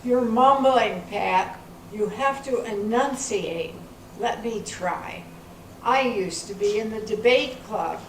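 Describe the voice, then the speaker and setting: Woman playing a slow, bossy grandmother tech expert. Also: on the high side. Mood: bossy, assured. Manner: instructive, assured. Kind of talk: amateur readers theater. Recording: echoey room from far off, faint noise.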